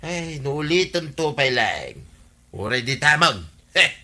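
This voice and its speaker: A blunt, unsympathetic husband in a radio comedy drama. His voice is very forceful and sharp, fairly high, and full of exasperated scolding. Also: sing-song, takes it slowly, heavily acted.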